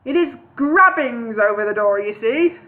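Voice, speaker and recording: A woman voicing a booming, eccentric old male wizard who shouts, heard over a tinny phone-like line. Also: lively intonation, normal speed, natural voice.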